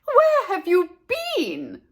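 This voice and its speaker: A woman's voice: extremely high pitch, huge pitch swings, drawn-out words, voice pushed up, heavily acted. A woman voicing an anxious, exasperated mother in a children's storybook, calling out emphatically. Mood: scolding. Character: anxious, exasperated mother searching for her daughter.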